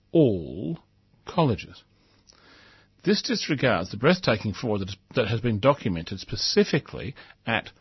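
A man's voice: mid-range voice, husky, dry recording, dull audio. A man hosting a talk show, reading out a newspaper editorial and adding his own earnest, emphatic comments. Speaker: radio host reading an editorial.

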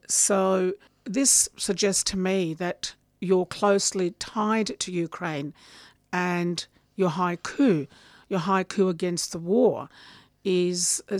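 A woman hosting a spoken word radio program, asking careful, measured questions. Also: lowish voice, no room sound. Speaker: radio host. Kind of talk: radio interview.

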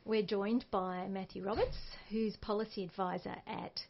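A woman with an Australian accent and a soft voice, co-hosting a community radio talk show in the studio and chatting loosely with restarts and fillers. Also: medium pitch, steady tone, moderate pace, creaky, muffled recording, a little hiss or hum. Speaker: radio co-host.

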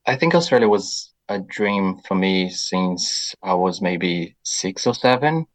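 A man from Brazil with a Brazilian accent, a guest on a radio interview, answering in a reflective, measured way with soft fillers. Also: low-pitched, expressive.

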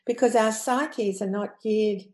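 A woman guest speaking reflectively and in measured short phrases in a panel discussion. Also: mid-range voice, a little mellow. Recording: roomy, echoey sound.

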